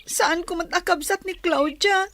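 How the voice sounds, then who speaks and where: A woman's voice: very high-pitched, moderate pace, sharp tone, slight background noise, heavily acted. A woman playing a young farm girl in a radio drama, pleading and whiny, with a Filipino accent. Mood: aggrieved. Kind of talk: Ilocano radio drama acting.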